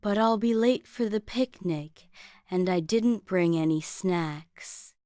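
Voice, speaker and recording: A woman voicing a small, timid, sweet fairy with a gentle, plaintive manner in a children's bedtime story. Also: mid-range voice, slow pace, dry recording, clean studio sound, lightly acted. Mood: worried, timid.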